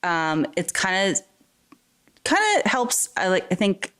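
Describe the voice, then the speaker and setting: A woman's voice: mid-range voice, very animated, slow, a bit bright, smooth. A middle-aged woman from New Hampshire, a guest talking in casual conversation, chatty and full of fillers and restarts. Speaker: interview guest.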